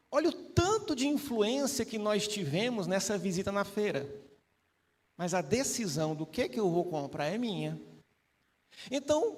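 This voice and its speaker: A man with a notably high voice explains spiritist ideas to a live panel. He speaks in a relaxed, chatty way and keeps tossing in rhetorical questions to the listeners. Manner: conversational, asks frequent rhetorical tag questions. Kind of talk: casual spiritist teaching talk.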